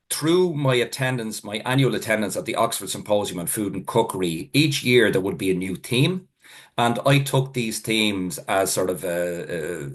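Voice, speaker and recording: A middle-aged man with an Irish accent, his first language being Irish, speaks in a slightly low voice. He tells his history in a loose, meandering way, full of fillers and self-corrections, like an informal lecture. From Ireland. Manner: rambling, self-correcting storyteller with many fillers. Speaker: food historian giving a talk.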